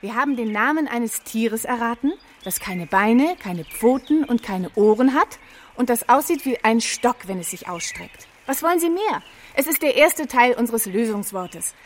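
Woman as a plucky high-voiced adventurer. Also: lively intonation, a little hiss or hum, lightly acted. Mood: bossy, upbeat. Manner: brisk, chirpy, decisive. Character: plucky, breezy, bossy woman balloon adventurer and reporter. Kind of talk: children's radio play.

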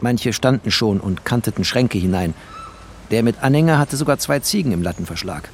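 A man's voice: fairly low pitch, expressive, fairly quick, slight background noise. A man playing a bookish, wry war refugee who narrates a literary radio play in clipped, poetic phrases. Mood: wry, observant.

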